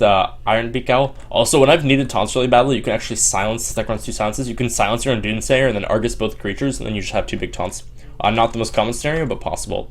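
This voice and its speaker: Fast-talking young man, sore voice. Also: lowish voice. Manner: quick, filler-heavy delivery with frequent ums and restarts. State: sore voice from long streaming. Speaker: Hearthstone player explaining a deck. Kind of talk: casual deck walkthrough.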